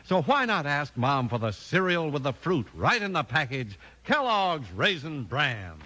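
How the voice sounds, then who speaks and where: A man's voice: fairly high pitch, very animated, measured pace, sharp tone, a little hiss or hum. A man announcing and selling in the enthusiastic, emphatic style of a 1950s radio show. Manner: enthusiastic, emphatic sales delivery. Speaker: announcer and sponsor pitchman. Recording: old radio broadcast with music. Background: music.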